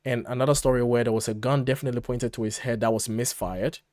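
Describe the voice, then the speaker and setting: Guy on a podcast recapping stuff in an animated chatty way, medium pitch, really dry audio. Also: fast talker, no echo. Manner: animated recapping, acts out paraphrases. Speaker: podcast co-host. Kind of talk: conversational podcast commentary.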